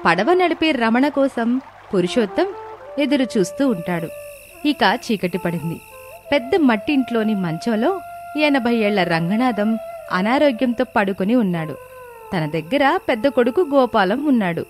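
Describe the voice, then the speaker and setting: A woman's voice: fairly high pitch, expressive, normal speed, faint music behind. A woman narrator reading a scripted moral tale in storytelling style.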